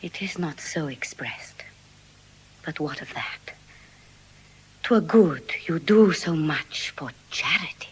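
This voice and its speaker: Classical court drama: composed shrewd young advocate, formal, unhurried, lively rise and fall. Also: female voice, low-pitched, slight background noise, low-quality recording. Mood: solemn, restrained. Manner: measured, deliberate, formal. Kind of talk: classical Shakespearean stage acting.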